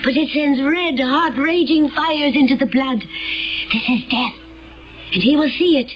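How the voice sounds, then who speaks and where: A high-pitched, intense female assassin in a vintage radio play. She speaks slowly and deliberately, torn between obedience and vengeance, over music on a narrow old recording. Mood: cold, vengeful. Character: tormented woman assassin, obedient yet fiercely vengeful. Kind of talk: old-time radio drama acting.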